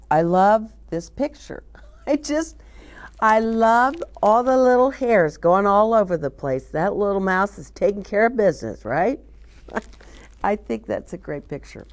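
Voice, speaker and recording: A woman's voice: mid-range voice, a little mellow. A woman hosting children's storytime, reading a picture book aloud expressively with chatty asides to young listeners.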